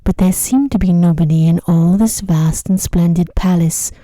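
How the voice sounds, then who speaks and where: A woman reads a sleep story in a hushed, measured ASMR style. Her voice is on the low side and extremely dark and soft in tone. The sound is close and dry, with hardly any room around it. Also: no room sound. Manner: hushed, gentle, measured reading. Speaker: narrator of sleep stories.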